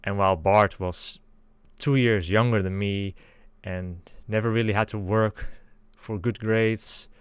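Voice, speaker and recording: Earnest young Dutch man, low dry voice. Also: fairly even intonation, unhurried, clean tone, no room sound. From Netherlands. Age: 20s. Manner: earnest, careful, hedging. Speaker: channel host. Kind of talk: scripted monologue.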